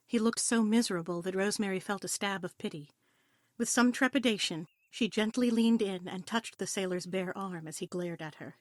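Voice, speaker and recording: A woman narrates a scripted adventure story with expression. Her voice sits at a middle pitch, captured dry and close. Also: normal speed, no echo. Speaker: narrator of audio play.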